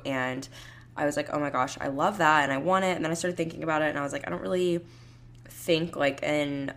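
A young woman in her twenties hosting a solo podcast from home, her voice hoarse and raspy, rambling casually with lots of fillers. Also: low-pitched. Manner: rambling, heavy use of "like" and "um". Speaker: podcast host.

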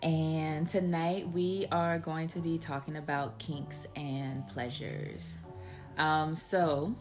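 A woman's voice: sits low, little pitch movement, slow pace. A woman podcast host with a slangy, laid-back, intimate delivery, telling an erotic story and chatting with a guest. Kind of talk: erotic storytelling and casual interview. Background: background music.

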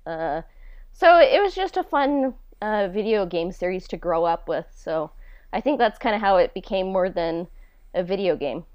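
A woman talking casually and haltingly in a podcast interview, as the show's creator answering questions. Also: medium pitch, expressive, clean tone, dry recording, highs cut off. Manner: halting, conversational, frequent "like".

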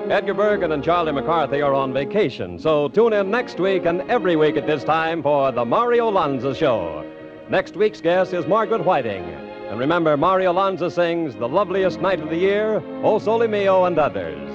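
Animated American old-time radio announcer man. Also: fairly high pitch, normal speed. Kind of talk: 1950s radio announcing, scripted. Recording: dull lo-fi vintage radio broadcast. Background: orchestral music.

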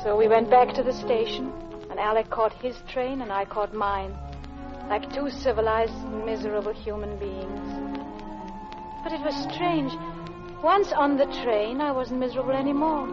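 Actress doing a lovelorn english wife in old radio drama, high clipped genteel voice, muffled recording. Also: female voice, lightly acted. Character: married middle-class Englishwoman, guilty, lovelorn, restrained. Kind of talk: old radio drama acting, first-person narration.

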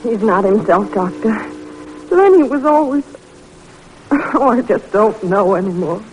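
A woman's voice: medium pitch, expressive, unhurried, a little mellow, soft background music, natural voice, rough recording. An actress playing a distraught, worn-out mother in an old-time radio drama, anxious and pleading.

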